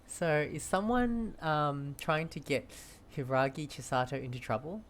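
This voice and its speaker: A woman's voice: low-pitched, slight background noise. A woman giving casual, chatty, informal commentary while playing through a game. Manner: chatty, informal, frequent giggly reactions. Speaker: gamer reacting to a story quest. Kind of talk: casual live playthrough commentary. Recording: game music behind the voice.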